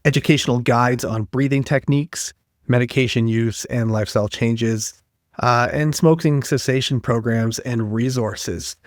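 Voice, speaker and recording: A man hosts with a friendly, conversational manner, pitched in the middle range. His voice is close to the mic and dry, with no room sound. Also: normal speed, dry recording. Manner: friendly, conversational, reads out resource details. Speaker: podcast host. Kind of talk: interview hosting with scripted info segments.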